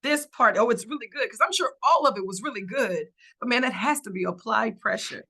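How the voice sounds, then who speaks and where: A woman hosting a talk show with a bright, forceful voice. She chats in a lively, animated way, laughs easily, and acts out imagined quotes. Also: a little high, fairly quick, breathy edge. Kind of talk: lively interview chat.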